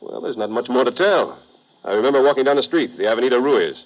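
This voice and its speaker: An actor playing a hungover, rich American playboy in a 1950s radio drama, sardonic and weary. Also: male voice, mid-range voice, animated, fast talker, natural voice. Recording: dull old radio broadcast.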